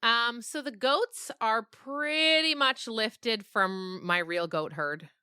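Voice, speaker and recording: Bright-voiced woman in a reflective interview. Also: fairly high pitch, slow, clean tone, no echo, clean studio sound. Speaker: interview guest, podcast creator. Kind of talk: casual, reflective interview talk.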